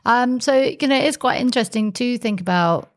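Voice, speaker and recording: A woman's voice: a little high, moderate pace. A woman co-hosting a podcast, chatting casually and laughing easily, with plenty of fillers. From England. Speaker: podcast co-host.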